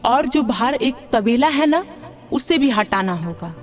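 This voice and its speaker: A woman's voice: on the high side, expressive, measured pace. A woman voicing a spoiled, whiny young city bride in a Hindi cartoon, demanding and dropping in English words. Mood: angry, demanding. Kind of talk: Hindi cartoon moral story dialogue.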